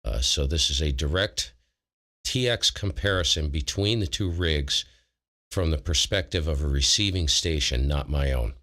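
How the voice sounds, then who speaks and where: A man with a very low voice hosting a casual ham radio livestream, talking informally and self-deprecatingly, often wandering mid-sentence. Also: moderate pace, pushed and bright. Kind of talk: casual technical talk to a live chat.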